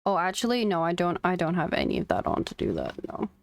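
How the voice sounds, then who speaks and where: A woman's voice: lowish voice, big pitch swings, fast, vocal fry. A woman streaming a game, chatting casually and commenting as she plays, close on the mic.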